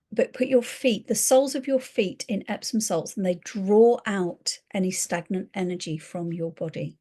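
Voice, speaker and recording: Middle-aged woman, warm mellow tone, earnest chatty advisor talking to camera. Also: mid-range voice. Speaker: spiritual advisor. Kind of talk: casual talk to camera.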